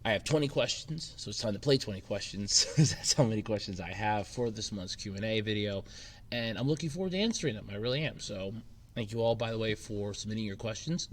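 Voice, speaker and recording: A man with a somewhat low voice talks casually to his channel's viewers, rambling and restarting his sentences. His voice is a bit scratchy from a lingering cold. The sound carries echo from a makeshift room. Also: animated, a little fast. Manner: rambling, informal, with frequent fillers and restarts. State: slightly scratchy, recovering from a cold. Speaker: YouTube channel host. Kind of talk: casual Q&A vlog chat. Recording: echoey temporary room.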